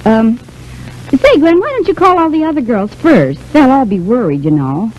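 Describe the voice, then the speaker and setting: Muffled, hissy old radio recording of a brisk, bossy showgirl who looks after her friends. Her mellow voice swings up and down in an old soap-opera style, chatty and always in charge. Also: on the high side, very animated. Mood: breezy, bluffing. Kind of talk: 1930s-40s radio soap opera acting.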